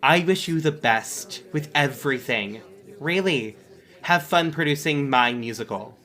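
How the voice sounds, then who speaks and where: A man's voice: fairly high pitch, animated, unhurried, sharp tone. A man playing an indignant, sarcastic superhero who writes and produces musicals in an audio drama, with a wounded, theatrical manner. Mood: bitter sarcasm.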